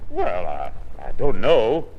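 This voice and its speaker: A man's voice: lowish voice, huge pitch swings, slow pace, lo-fi sound, full character voice. An actor playing an older grandfather, reluctant and grumbling, in a 1950s radio sitcom. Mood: grumbling reluctance. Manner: grumbling, hesitant. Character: grumpy, reluctant, tight-fisted older grandfather. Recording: hissy 1950s radio recording.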